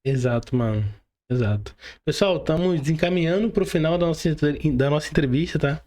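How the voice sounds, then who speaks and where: A man's voice: a little high, brisk, mellow. A man with a Brazilian Portuguese accent hosting a podcast interview in a casual, slangy way. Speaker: podcast host.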